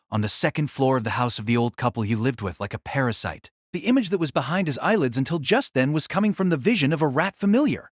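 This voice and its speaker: A man's voice: mid-range voice, dry recording, clean studio sound. A man reading scripted dramatic story narration as the narrator of an audio drama.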